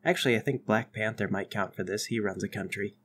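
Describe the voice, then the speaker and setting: A man's voice: lowish voice, a little fast, fairly bright tone, husky. A man acting as game master, narrating a tabletop game and bantering with the players.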